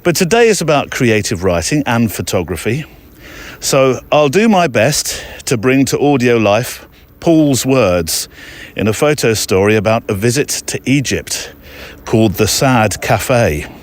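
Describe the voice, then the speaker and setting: A man's voice: mid-range voice, big pitch swings, unhurried. A man hosting a podcast outdoors, talking casually to listeners in a chatty, rambling way with hesitant asides. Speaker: podcast host. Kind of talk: casual walking monologue to listeners. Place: outdoors, walking in an overgrown park.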